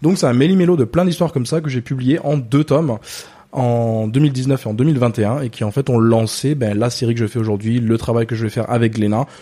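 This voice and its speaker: A man who grew up in Ghana and whose first language is English, talking as a guest on a podcast. His voice is mellow and warm, and his delivery is relaxed. He stops often to find the right word. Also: medium pitch, quick pace. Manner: thoughtful, with frequent hesitations. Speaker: manga artist guest. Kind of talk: casual podcast interview.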